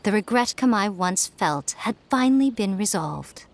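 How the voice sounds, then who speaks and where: A woman voicing an elegant, worldly fox spirit lady in a video game, poised, knowing and gently teasing. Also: medium pitch, animated, normal speed, creaky, a little hiss or hum.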